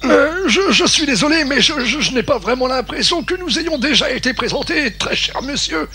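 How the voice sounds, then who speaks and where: A man's voice: extremely high pitch, expressive, moderate pace, background noise. A man voicing a dotty, polite old explorer professor in a French cartoon dub, fussy and stammering. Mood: flustered, polite. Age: older.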